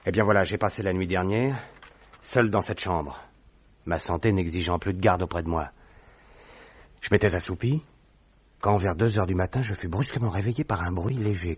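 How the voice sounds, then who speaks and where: A nervous young convalescent, voiced by a man tells his story in an anxious, earnest way. His voice is very low and husky, heard through a dull vintage radio recording. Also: darkish tone. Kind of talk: vintage radio drama acting.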